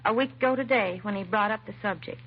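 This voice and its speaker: An American actress playing a timid, self-doubting young secretary in a 1950s radio drama, hesitant and apologetic. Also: female voice, mid-range voice, fast, close to an everyday voice. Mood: earnest, hesitant. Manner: hesitant, nervous, apologetic, trailing and restarting. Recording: muffled vintage radio recording, faint hiss.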